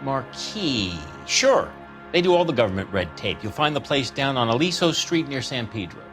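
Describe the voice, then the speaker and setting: A man's voice: medium pitch, sing-song, moderate pace, a bit bright, faint music behind. An actor playing a folksy, wisecracking used car salesman in a 1940s American crime game, with drawling showman's patter. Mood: defensive, folksy. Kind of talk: video game cutscene acting, 1940s American setting.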